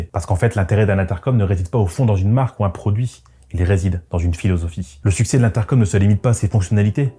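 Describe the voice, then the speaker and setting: A man's voice: fairly low pitch, mellow, slightly rough. A man giving scripted, first-person narration as a motorcycle vlogger, in a reflective, conversational manner. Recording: music behind the voice. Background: background music.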